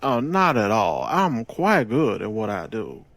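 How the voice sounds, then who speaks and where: An actor playing a smooth gangster boss in an audio drama, with a deliberate, self-assured, persuasive delivery and sly wit. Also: male voice, fairly low pitch, sing-song, a bit bright, no room sound, heavily acted. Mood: smug, businesslike.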